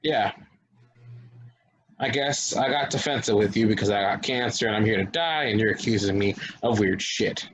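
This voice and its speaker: A man's voice: on the low side, normal speed, a little hiss or hum, lightly acted. A man playing a rattled, defensive but earnest young man of twenty-five in a table reading of a stage play. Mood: resigned, confiding.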